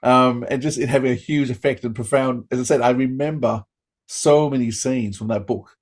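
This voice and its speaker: A man's voice: mid-range voice, fairly even intonation, moderate pace. A middle-aged man from Australia, hosting a casual interview and talking with eager, rambling restarts. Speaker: podcast host.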